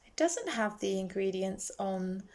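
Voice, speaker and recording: A woman's voice: medium pitch, animated, unhurried, a little mellow. Chatty young woman with a lisp. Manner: chatty, with frequent ums and self-corrections. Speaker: skincare vlogger. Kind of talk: casual product walkthrough.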